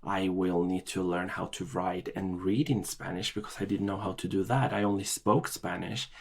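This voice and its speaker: A middle-aged man from Chile, a podcast guest telling his life story in a halting way, with many restarts and fillers. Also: low voice, fairly even intonation, vocal fry. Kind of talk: personal storytelling interview.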